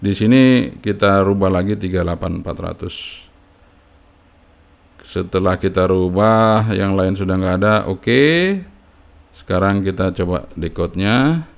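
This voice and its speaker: Low-voiced man, casual tutorial. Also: measured pace, vocal fry, long pauses. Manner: informal, often tags phrases with a checking "ya". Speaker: amateur radio hobbyist giving a tutorial. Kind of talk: casual unscripted step-by-step tutorial.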